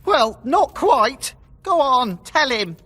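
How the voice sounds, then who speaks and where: A woman's voice: sits high, very animated, takes it slowly, fairly bright tone, breathy edge, in a large hall, exaggerated voice. A woman playing a nervous, sheepish young character in a fantasy video game, hesitant and pleading.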